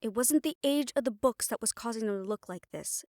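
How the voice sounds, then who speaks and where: A woman's voice: a little high, brisk, darkish tone, no room sound, studio quality, close to an everyday voice. A woman playing a stern, exasperated young siren banshee spellcaster in a roleplay audio drama, talking conversationally and emphatically. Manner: conversational, sighing, chiding, emphatic.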